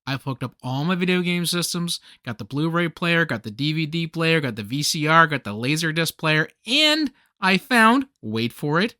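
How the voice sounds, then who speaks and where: Radio comedy: nostalgic geek host, male actor, theatrical and excitable, bright, lively delivery. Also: on the high side, normal speed, few pauses, no echo, studio quality. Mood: hyped, boastful. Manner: theatrical, geeky slacker banter. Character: excitable nostalgic nerdy man, melodramatic party host. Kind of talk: scripted radio play comedy dialogue.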